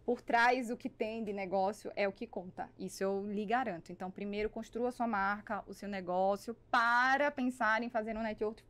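A woman's voice: medium pitch, steady tone, normal speed, few pauses, a bit bright. A talkative woman with a Brazilian accent, telling stories conversationally and emphatically into a studio microphone on a podcast. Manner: talkative, emphatic, acts out dialogue. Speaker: podcast guest, business networker. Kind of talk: animated conversational storytelling. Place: small foam-padded podcast studio. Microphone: studio microphone on boom arm.

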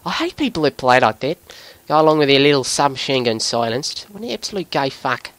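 A man putting on an Australian accent as an excitable, sweary bloke giving live video game commentary in a voice pushed higher than usual. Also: fairly quick. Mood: sarcastic, frustrated. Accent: put-on Australian. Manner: excitable, sweary, breaking into giggles at himself. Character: excitable Australian bloke gamer, jokey larrikin.

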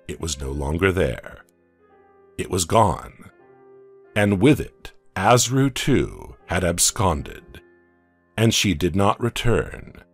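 A man tells a dark tale in a measured, dramatic narration. His voice sits very low yet moves through a wide, expressive pitch range. A slightly husky grain colours every line, over faint background music. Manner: slow, dramatic storytelling with hushed drops. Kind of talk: scripted audiobook narration.

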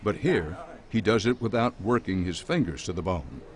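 Low-voiced male news narrator. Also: lively intonation, moderate pace. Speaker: reporter.

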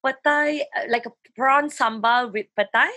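A woman's voice: a little high, moderate pace, bright, forceful tone, no room sound. Young woman, dry close sound. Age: young adult. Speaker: interview guest, DJ and fashion entrepreneur. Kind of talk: casual chat in a video interview. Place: home room with shelves, video call.

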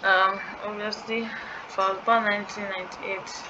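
A woman's voice: medium pitch, little pitch movement, normal speed. A young woman thinking aloud as she fills in an online form for a tutorial, hesitant and musing. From Nigeria. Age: young adult. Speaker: scholarship tutorial creator. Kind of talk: screen-recorded walkthrough. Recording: dull lo-fi sound with clear background noise.

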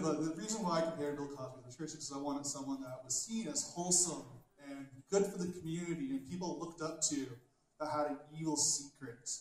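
A young man with a fairly high voice delivers a stand-up set with blunt, dark humor. His handheld mic rings through a big wood-panelled hall, heavily echoey, with a faint hiss under it. He sounds as if recorded by a camera at the back. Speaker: stand-up comedian. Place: large wood-panelled hall with a small stage.

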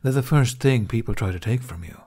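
A man voicing a wry, unbothered male dragon in an audiobook, with a dry, deadpan, teasing delivery. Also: medium pitch, brisk, slightly rough.

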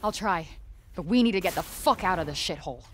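An actress playing a blunt, sarcastic, defiant young New York heroine in a video game. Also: female voice, lowish voice, expressive, fairly quick, a little hiss or hum, lightly acted. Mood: sardonic, defiant. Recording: game sound effects behind voice.

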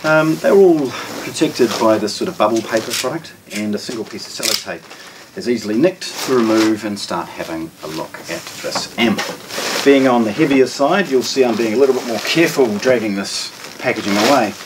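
A New Zealand man with a fairly low voice demonstrates a product in measured, fragmented phrases. He sounds a little way from the microphone, in an indoor room. Clear background noise sits behind his matter-of-fact delivery. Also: animated, distant mic. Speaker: shop presenter of product unboxings. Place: carpeted indoor showroom room with shelves.

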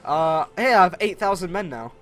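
Young man, Canadian English accent, teasing gamer chat, fairly high voice, faint music behind. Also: animated, normal speed, fairly bright tone. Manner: teasing, chatty, laughs at own jokes. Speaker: gamer playing multiplayer strategy. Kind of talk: casual gameplay chat.

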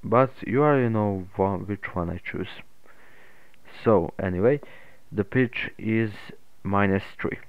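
Man, tutorial host, lowish voice, hesitant delivery, very dry narrow-band recording. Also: measured pace, smooth, no echo. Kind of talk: unscripted software tutorial narration. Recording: muffled phone-like narrow-band audio.

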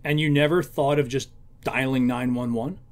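A man's voice: medium pitch, fairly even intonation, moderate pace, close to an everyday voice. A man voicing a stern, pressing police officer who questions in disbelief, in a storybook reading. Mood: incredulous. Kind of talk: audiobook-style character reading.

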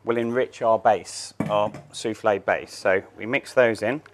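A man in his thirties gives a cooking demonstration, his voice sitting somewhat low. He explains each step plainly while he works, picked up on a clip-on mic in a large showroom kitchen. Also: fairly even intonation, measured pace, slight background noise. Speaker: chef.

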